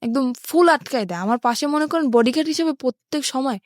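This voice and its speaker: High-voiced Bangladeshi woman telling her story. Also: lively intonation, brisk, dry recording, studio quality. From rural Bangladesh. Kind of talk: personal life-story narration in a radio interview.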